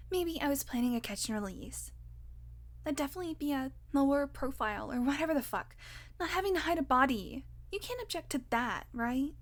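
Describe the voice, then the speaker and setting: Casting: actress as cranky, sarcastic vampire woman for audio roleplay; high register, sweary, teasing. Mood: sardonic, teasing. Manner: sardonic, foul-mouthed, teasing with pet names. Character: hungry, irritable, sarcastic female vampire on a road trip. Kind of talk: audio roleplay acting, one-sided dialogue.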